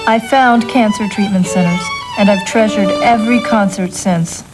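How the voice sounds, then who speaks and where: A woman's voice: mid-range voice, steady tone, moderate pace, rough recording. A woman giving a scripted first-person testimonial for an advertisement, with strings playing behind her. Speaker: testimonial speaker. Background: loud violin and string music.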